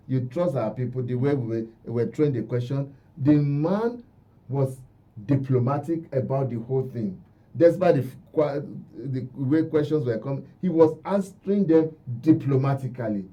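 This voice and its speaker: Male radio guest, Nigerian accent, very dark relaxed tone, emphatic repetition, slightly muffled lo-fi sound. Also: medium pitch, moderate pace, distant mic. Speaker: radio guest commentator on diplomacy. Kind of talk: radio interview discussion. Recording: dull, lo-fi radio audio.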